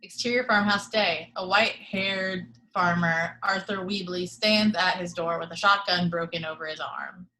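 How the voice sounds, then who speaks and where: Woman reading stage directions, bright tone, even and steady. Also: lowish voice, moderate pace, hardly any pauses, poor audio. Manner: steady, matter-of-fact reading. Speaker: reader of the action description. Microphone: video call.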